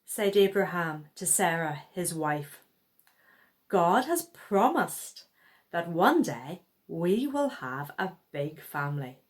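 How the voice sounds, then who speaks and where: A woman's voice: fairly low pitch, slow pace. Woman reading a children's story, very expressively. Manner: expressive, acting out dialogue. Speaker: children's Bible story reader. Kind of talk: read-aloud children's story.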